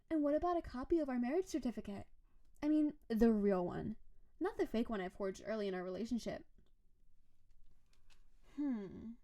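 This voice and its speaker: A woman performs a lovestruck snow-spirit wife for a romantic audio roleplay. Her voice is fairly high yet soft and mellow, cooing endearments straight to the listener. She is doting and possessive, leaning dramatically on her words of devotion. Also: lightly acted. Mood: gushing, excited, then sweet, playful.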